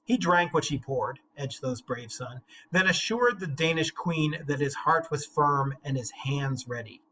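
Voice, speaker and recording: Male teacher, slightly high voice, casual explainer who reads aloud, faint noise behind him. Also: animated, a little slow, a bit bright, poor audio. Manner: slangy, informal paraphrasing. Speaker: English literature teacher. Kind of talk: reading aloud with casual commentary.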